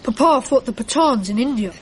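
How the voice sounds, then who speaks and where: High-voiced, brisk English boy played by a woman. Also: lively intonation, close to an everyday voice. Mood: proud. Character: proud young upper-class English boy. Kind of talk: period radio drama dialogue. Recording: noisy archive radio drama recording.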